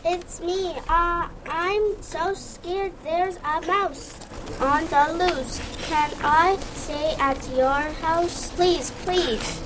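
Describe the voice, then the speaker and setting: A woman's voice: sits high, noisy background, voice pushed up. Woman doing a panicky, timid elephant. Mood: panicked. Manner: fearful and whimpering, drawn-out words.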